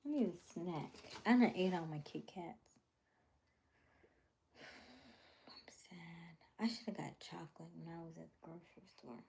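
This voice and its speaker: A woman chatting casually with her viewers on a livestream, drifting into soft whispers and laughing often. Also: lowish voice, measured pace, gravelly, long pauses, a little hiss or hum. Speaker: livestream host.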